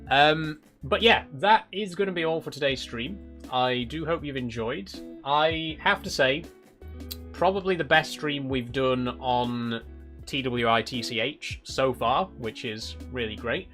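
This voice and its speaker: A British man with a medium-pitched, bright, forward voice talks casually to his livestream audience. He is chatty, polite and appreciative. Guitar music plays softly behind him. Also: a little slow. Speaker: live streamer. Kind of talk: casual livestream chat with viewers. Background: background guitar music.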